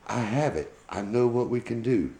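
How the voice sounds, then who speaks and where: A man voicing a calm, caring monkey hero who explains things earnestly in a bedtime story. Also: mid-range voice, moderate pace, roomy sound, slight background noise, natural voice. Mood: confident. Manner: matter-of-fact, earnest explaining.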